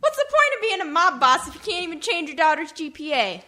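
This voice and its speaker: A woman's voice: very high-pitched, sing-song, fast, pushed and bright, exaggerated voice. A woman playing a spoiled, entitled college-age daughter of a mobster in a comic radio play, pouty and wheedling.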